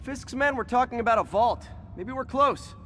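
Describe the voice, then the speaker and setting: An actor playing a young wisecracking superhero in a video game, with an American accent and a casual, quippy delivery. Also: male voice, extremely high pitch, moderate pace, a bit bright, a little hiss or hum. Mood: wry. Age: young adult. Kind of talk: video game voice acting, natural dialogue.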